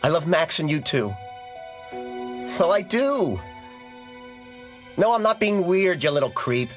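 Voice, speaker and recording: A young American haunted by a curse in a horror radio drama. His accent is American, his voice high and very animated, anxious and distraught, with music behind it. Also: slow. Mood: tender, strained. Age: young adult. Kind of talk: audio horror drama acting.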